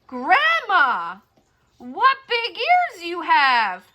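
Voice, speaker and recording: A woman's voice: very high-pitched, wildly swooping pitch, very slow, bright, forceful tone, slight background noise, voice pushed up, exaggerated voice. A woman voicing an innocent, trusting little girl in a storybook tale, with a sweet singsong manner. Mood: puzzled wonder.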